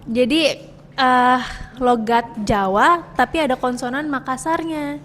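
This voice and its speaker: Woman interview guest, higher voice, informal explanations with asides, reverberant room, faint background noise. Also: fairly even intonation, a little slow, fairly bright tone. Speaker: actress interviewed as film cast member. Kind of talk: casual interview chat.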